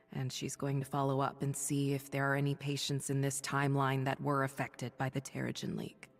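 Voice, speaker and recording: A woman voicing a weary, noble superhero thunder goddess for a video game, confiding in measured, breathy speech. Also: rumbling low, in a large hall. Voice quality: breathy, husky. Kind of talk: video game voice acting, dramatic dialogue and audio-log monologue.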